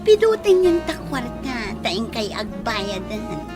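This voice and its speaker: A woman's voice: on the high side, sing-song, takes it slowly. An actress playing a haughty, scornful rich young woman in an Ilocano radio drama, theatrical and taunting. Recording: loud music bed behind voice.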